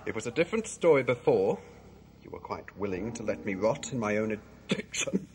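Low-pitched male villain, theatrical and scheming, for an audio drama played by a man; noisy recording. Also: very animated, brisk, a little mellow. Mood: weary, dying despair. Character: scheming villain, faking a weak, dying addict's despair.

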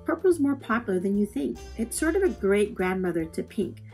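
Woman, mid-pitched voice, children's poetry read-aloud, expressive, deliberate phrasing, soft guitar music behind. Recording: music playing under the voice. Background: plucked guitar music.

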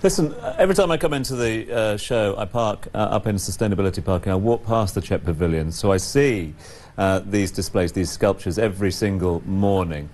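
A man in his forties co-hosting a morning show, chatty and lively. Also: on the low side, a little fast. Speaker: TV presenter. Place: TV studio set.

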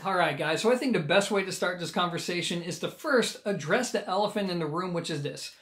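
A man's voice: high voice, brisk, over music. An American man in his thirties giving a casual, emphatic and explanatory video review of audio gear.

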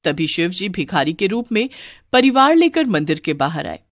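Animated woman story narrator. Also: lowish voice, moderate pace, dry recording. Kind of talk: expressive scripted story narration.

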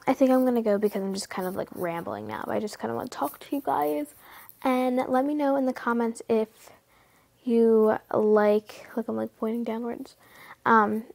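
A teenage girl vlogging from her bedroom at night, rambling casually with lots of fillers, often hushed, her nose stuffy from a cold. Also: a little high, vocal fry. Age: teens. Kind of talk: casual late-night chat to camera. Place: bedroom at night, sitting on bed.

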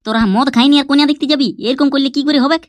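A man voicing a hurried village matchmaker who grumbles and coaxes, in a rustic cartoon comedy with a Purulia Bengali accent. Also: very high-pitched, steady tone, no room sound. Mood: grumbling. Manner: grumbling, coaxing.

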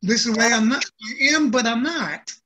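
Older man, halting speech, bright tone, home call. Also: extremely high pitch. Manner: halting, restarts often. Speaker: program participant. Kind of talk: casual video-call chat. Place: home room.

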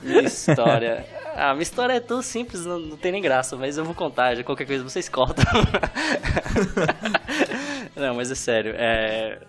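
A Brazilian man with a Northeastern accent from Ceará, a guest illustrator chatting casually on a podcast, self-deprecating and quick to laugh, restarting his sentences often. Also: medium pitch, lively intonation. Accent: Brazilian Portuguese, Northeastern-influenced from Ceará. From Brazil, born in Fortaleza, raised in Brasília.

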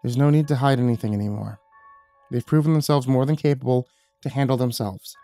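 A man's voice: mid-range voice, a little fast, warm tone, dry recording, faint music behind, close to an everyday voice. A man voicing a young woman in a spoken horror story, bitter and full of guilt as she recounts a loss.

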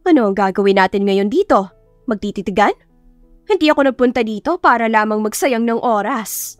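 Woman voicing haughty, impatient business types, high-pitched. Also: fairly quick, dry recording, faint music behind, close to an everyday voice. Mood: impatient, snobbish. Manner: complaining, condescending. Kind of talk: dramatised audiobook dialogue.

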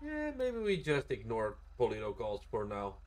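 A man's voice: lowish voice, huge pitch swings, moderate pace, darkish tone. A man chatting casually and crudely while role-playing a paramedic in an online game. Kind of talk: casual in-game roleplay chat.

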